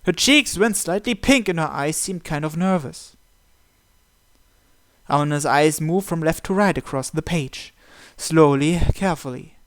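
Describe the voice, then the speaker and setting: A man's voice: fairly high pitch, measured pace, dry recording. A man reading a visual novel aloud as story narration. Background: game music.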